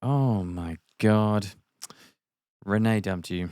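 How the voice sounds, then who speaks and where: A dumped young man grumbles and sighs in a low, downbeat voice as a man acts him out in a table read. He sounds miserable and fed up, recorded dry and close. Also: slow, a little mellow, clear, resonant tone, dry recording. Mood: glum, subdued. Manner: sighing, downbeat. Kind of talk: table read of a film comedy scene played sad.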